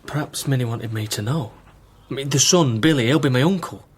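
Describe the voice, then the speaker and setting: An actor playing an earnest, firm adult son in a naturalistic radio drama. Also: male voice, mid-range voice, fairly quick, husky, lightly acted. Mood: stunned, earnest.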